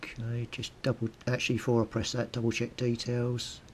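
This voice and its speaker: A British man giving a casual how-to commentary, thinking aloud and muttering informally to himself as he works. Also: brisk. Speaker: hobbyist video host. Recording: steady background noise with low rumble.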